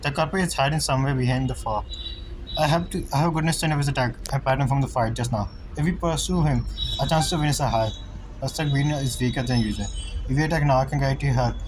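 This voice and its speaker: A man's voice: mid-range voice, fast talker, background noise. A man giving casual, informal playthrough commentary on a video game, reacting and swearing freely. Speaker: gaming streamer. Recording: voice under very loud game music. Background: loud video game music.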